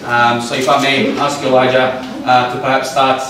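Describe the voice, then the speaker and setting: A man's voice: mid-range voice, little pitch movement, normal speed, fairly bright tone, a little hiss or hum. A man moderating a panel forum, speaking hesitantly with many ums and uhs. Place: community forum venue. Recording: very echoey hall, mic at a distance.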